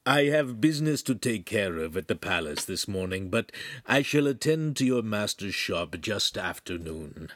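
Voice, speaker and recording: A man's voice: on the low side, sing-song, moderate pace, a bit bright, dry recording. Man playing a formal, gracious city ruler. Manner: formal, stately. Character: gracious, dignified male city ruler of old Verona.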